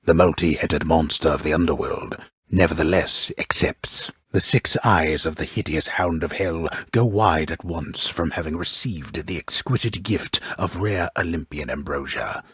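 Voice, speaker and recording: An actor playing a solemn, wry storyteller of underworld myths in a video game, with grandiose, measured delivery and a gravelly, husky voice. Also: male voice, extremely low pitch, a bit bright, no echo, studio quality, heavily acted. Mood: solemn, dryly wry. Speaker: storyteller narrator. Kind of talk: scripted dramatic video game narration.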